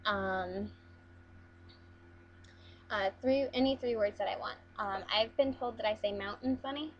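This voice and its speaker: A woman's voice: mid-range voice, measured pace, lo-fi sound, a little hiss or hum. A young woman from Las Vegas chatting casually through an accent challenge, hesitant with frequent ums and restarts. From Las Vegas, USA. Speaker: vlogger.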